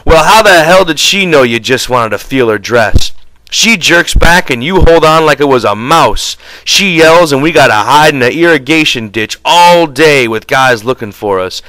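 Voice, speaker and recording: A man's voice: fairly low pitch, expressive, moderate pace, hardly any pauses, fairly bright tone, smooth, dry recording, poor audio. A man voicing an angry, exasperated, bullying drifter with folksy, ungrammatical speech in an audiobook. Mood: furious. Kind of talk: audiobook character dialogue.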